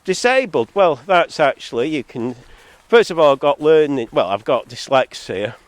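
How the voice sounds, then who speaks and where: A man's voice: expressive, normal speed, no echo. A man full of a head cold delivers a rambling, argumentative vlog monologue with sardonic asides, on a distorted recording that clips. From Zambia-born, living in England. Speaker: solo video maker. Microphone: phone or camera, recorded too hot. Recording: distorted, clipping from high recording level.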